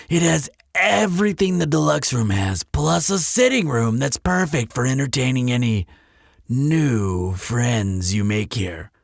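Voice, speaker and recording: A man's voice: medium pitch, creaky, husky, no room sound, clean studio sound, full character voice. A man voicing a courteous, eager demon hotel receptionist in an audio roleplay, speaking in polished, salesmanlike customer-service patter. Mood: helpful, suggestive. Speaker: hotel concierge character. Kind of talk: scripted audio roleplay addressed to the listener.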